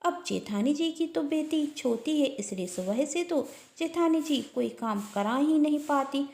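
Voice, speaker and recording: A woman's voice: high voice, expressive, measured pace, a little mellow, pitched above the performer's own voice. A woman storyteller playing a dutiful, polite and earnest younger daughter-in-law with a shrewd streak. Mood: pointedly innocent. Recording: roomy echo.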